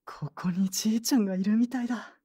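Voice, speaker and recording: A woman playing an earnest, polite young man in an audio drama, soft-spoken and sincere. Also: medium pitch, fast talker, slightly rough, natural voice. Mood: moved, grateful. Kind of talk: Japanese audio drama acting and first-person narration.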